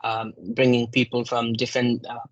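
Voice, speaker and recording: Flat-voiced young man from Nepal. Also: mid-range voice, normal speed, dry recording, highs cut off. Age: young adult. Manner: hesitant, many fillers and restarts. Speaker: guest speaker. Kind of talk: presentation talk.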